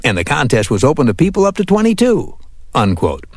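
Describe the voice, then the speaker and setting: A man hosting a weekly radio countdown show, reading scripted narration in a lively announcer style from a studio. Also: medium pitch, fairly quick, no room sound. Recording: music playing behind. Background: music.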